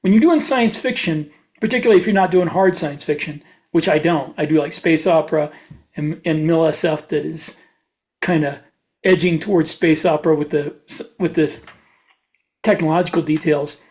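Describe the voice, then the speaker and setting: A man's voice: fairly high pitch, normal speed, a little mellow. A man co-hosting a podcast, talking casually in an informal panel chat. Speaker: podcast co-host and science fiction writer.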